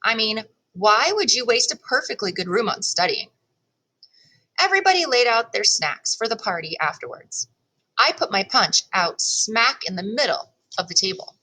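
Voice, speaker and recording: A woman reading a children's chapter book aloud in an expressive first-person storytelling style, leaning on key words. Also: fairly high pitch, rough recording. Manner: animated, storytelling delivery with deliberate emphasis.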